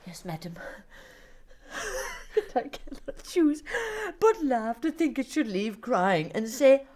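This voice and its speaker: Chatty old shakespeare nurse, high voice, takes her time, doting and dramatic. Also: big pitch swings, slow pace, darkish tone. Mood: amused rambling. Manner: rambling, dramatic, chuckling storyteller. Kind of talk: Shakespearean audio drama acting.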